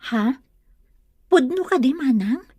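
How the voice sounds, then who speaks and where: A woman's voice: high voice, expressive, slow, darkish tone, dry recording, full character voice. A woman with a Filipino accent playing a polite, modest younger village woman in an audio drama. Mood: surprised. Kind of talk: Ilocano audio drama acting.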